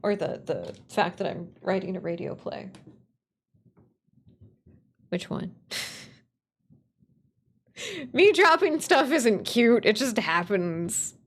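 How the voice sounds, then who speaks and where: A woman streamer chatting casually as she works on her writing, rambling and playful as she thinks out loud. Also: mid-range voice, sing-song, takes it slowly, pauses often. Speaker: streamer, writer and voice actor. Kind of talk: casual work-along livestream chat.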